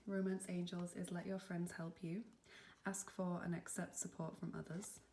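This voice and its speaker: A young woman with a cold and a slightly sniffly voice, giving a gentle, reassuring tarot reading with some softly whispered asides. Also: on the low side, little pitch movement, moderate pace, slight background noise. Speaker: tarot reader. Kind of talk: pick-a-card tarot reading.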